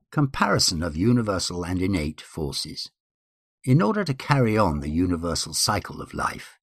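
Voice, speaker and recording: Deep-voiced man reading formally, husky edge. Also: a bit bright, no room sound, clean studio sound. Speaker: guest reader. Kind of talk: formal scripted reading of numbered principles.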